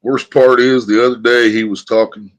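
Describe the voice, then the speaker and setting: A man's voice: medium pitch, level tone, moderate pace, highs cut off. A man hosting a livestream. He chats casually and tells rambling stories full of swearing. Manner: profane, rambling storyteller. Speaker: livestream host.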